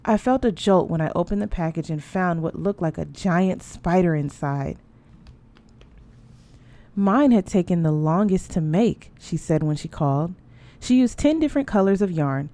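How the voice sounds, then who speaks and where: Mellow-voiced woman reading a novel aloud. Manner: lively dialogue voices, rising high for the mother and sisters. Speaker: audiobook reader.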